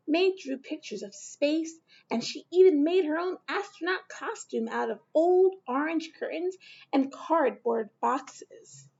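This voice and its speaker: A woman teacher reading a picture book aloud to children, gentle and slow, with questions to her listeners. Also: high voice, creaky, dull audio.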